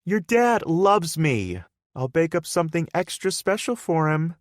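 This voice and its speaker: A man's voice: on the high side, sing-song, unhurried, dry recording, clean studio sound, pitched above the performer's own voice. A man voicing a playful, teasing young woman in an audiobook, raising his voice to sound bubbly and pleading. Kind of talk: audiobook character voice.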